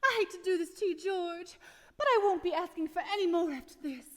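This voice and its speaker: A woman's voice: very high-pitched, brisk. An actress playing a restless, flirtatious small-town woman in a 1940s-style radio play, theatrical in delivery. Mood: confiding. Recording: live stage taping in echoey hall.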